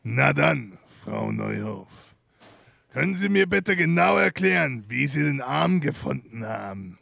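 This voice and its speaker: A man playing a gruff, sarcastic police detective with a gravelly, husky voice in a German crime audio drama. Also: mid-range voice, sing-song, a little slow, fairly bright tone, no echo, clean studio sound, exaggerated voice. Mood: brisk, probing.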